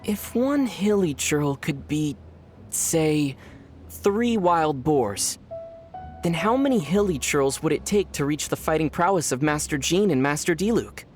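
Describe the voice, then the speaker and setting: An actor playing a calm young male traveler hero in a video game who answers in a dry, deadpan way. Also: fairly high pitch, unhurried, soft background music. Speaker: player character. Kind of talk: video game character banter.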